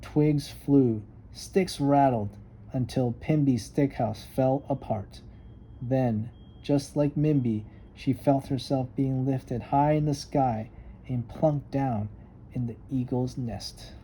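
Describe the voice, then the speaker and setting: A man narrates a picture book for children in a very warm, mellow, mid-pitched voice. His reading is expressive and emphatic, with faint noise behind it. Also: slow pace, rough recording. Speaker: storybook reader. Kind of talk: read-aloud children's story narration.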